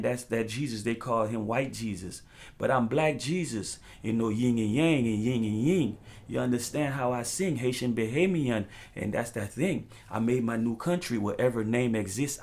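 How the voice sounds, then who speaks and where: A man's voice: mid-range voice, darkish tone. A man from the United States delivering an impassioned, freestyle monologue as a talk show host, preaching in profane street slang with emphatic repetition and loose rhymes.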